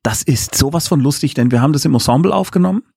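A man's voice: on the high side, animated, fast, creaky, darkish tone, breathy edge, no room sound, clean studio sound. A man speaking as a guest director in a casual interview, explaining things in chatty, enthusiastic detail. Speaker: guest, audio drama director.

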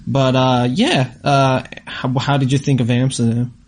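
A man's voice: medium pitch, level tone, a little slow. Man chatting casually as podcast co-host. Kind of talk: casual chat about travel.

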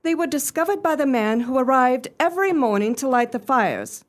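Radio drama client: genteel young lady, played by an actress, earnest, formal, fairly high voice. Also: expressive, moderate pace. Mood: earnest, grave. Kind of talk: radio play acting.

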